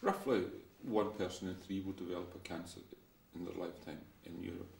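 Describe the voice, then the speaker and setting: A man giving an interview statement as a researcher, explaining points clearly one by one. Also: low-pitched, fairly even intonation, brisk, a little mellow. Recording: roomy, distant, faint noise.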